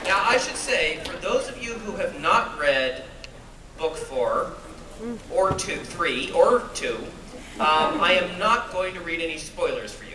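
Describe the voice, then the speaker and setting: A man's voice: a little high, lively intonation, unhurried. A jet-lagged man speaking to a live audience, playful and self-mocking as he talks and reads aloud. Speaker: author reading at a fan event. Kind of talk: live talk and reading to an audience. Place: convention hall with an audience. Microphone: phone or camera recording from the audience. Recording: echoey hall, voice from far off, noisy.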